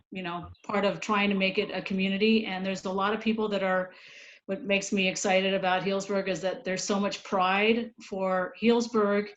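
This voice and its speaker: Woman candidate on a dull, noisy call. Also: mid-range voice. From Ecuador. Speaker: city council candidate. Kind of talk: informal self-introduction.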